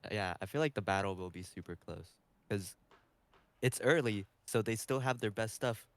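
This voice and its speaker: A man's voice: fairly low pitch, normal speed, no echo. A man speaking tentatively and casually as a guest on a podcast. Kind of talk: casual chat.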